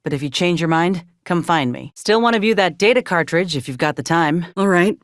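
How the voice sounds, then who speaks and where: An actor, a woman, playing an earnest, steadfast ex-soldier in a space frontier video game, speaking in a plainspoken, measured drawl. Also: on the low side, expressive, dry recording, clean studio sound, lightly acted. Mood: earnest, hopeful. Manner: folksy, plainspoken, measured delivery. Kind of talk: video game character dialogue.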